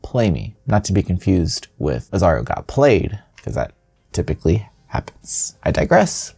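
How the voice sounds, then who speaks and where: A man's voice: lowish voice, vocal fry, a little mellow. A man hosting a gaming news show, reading scripted headlines with casual, playful and self-deprecating asides. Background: music.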